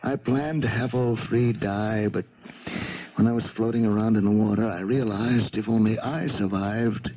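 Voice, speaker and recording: An American actor playing a cornered, scheming businessman, halting and defensive, in a 1970s radio drama. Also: fairly low pitch, steady tone, a little hiss or hum, close to an everyday voice. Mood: resigned. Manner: halting, hesitant. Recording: muffled 1970s radio recording.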